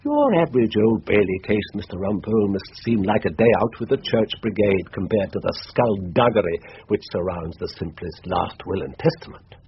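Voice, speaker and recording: Dry English solicitor, lowish swooping voice, precise and confidential, muffled radio-play sound. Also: male voice, lively intonation, moderate pace, hardly any pauses. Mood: drily confidential. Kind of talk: radio drama acting. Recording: dull, lo-fi hissy radio recording.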